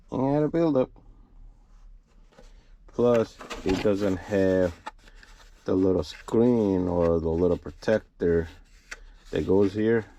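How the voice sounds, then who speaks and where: Deep voiced guy doing a casual diy truck vlog, some noise and clicking behind him. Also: mellow. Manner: informal, talking to viewers while working. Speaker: trucker vlogger. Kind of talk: casual hands-on repair walkthrough. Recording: clear background noise, clicks.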